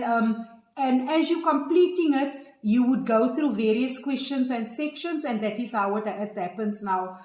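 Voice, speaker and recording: A woman's voice: on the high side, darkish tone, echoey room. A woman hosting an online support session, guiding applicants and restarting words as she thinks. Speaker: session host advising applicants.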